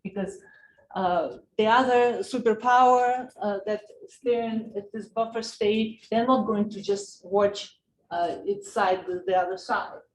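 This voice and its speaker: A woman's voice: medium pitch, steady tone. A woman with a Russian accent, a native Russian speaker, giving a university political science lecture, with frequent fillers and restarts. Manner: halting, many fillers and restarts. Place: lecture hall. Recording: roomy hall with faint noise.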